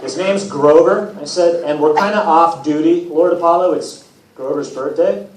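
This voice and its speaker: A man reading aloud as a wry, put-upon teenage boy demigod, telling his own story with self-deprecating, deadpan humour. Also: fairly high pitch, moderate pace, natural voice. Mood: uneasy. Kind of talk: first-person storybook reading. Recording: echoey room from far off, hissy noise.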